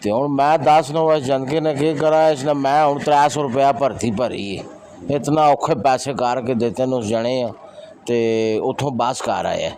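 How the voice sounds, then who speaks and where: A man's voice: medium pitch, normal speed, a little mellow, slight background noise, lightly acted. A man playing a chatty, grumbling, hard-up villager in an amateur rural audio drama, speaking colloquially. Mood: weary complaining.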